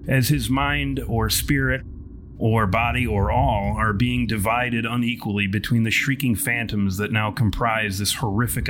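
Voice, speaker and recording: A man's voice: medium pitch, noisy background. A man working as game master, narrating a horror tabletop game in grandiose, wordy, wry language. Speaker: podcast writer and artist.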